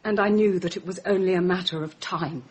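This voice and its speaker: A woman's voice: on the low side, steady tone, fairly quick, husky. An actress playing a shaken, world-weary young society woman in a radio drama. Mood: weary confessing.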